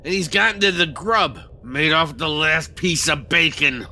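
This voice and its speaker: A man's voice: a little high, measured pace, pushed and bright. An actor playing an edgy, suspicious male outlaw on the run, alert and dramatic in old-time radio adventure style.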